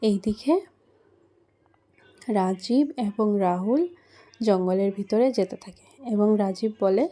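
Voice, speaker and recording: A woman's voice: medium pitch, takes it slowly, pauses often, dark tone. A woman telling a scripted horror cartoon story for children as its storyteller. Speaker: storyteller and channel host.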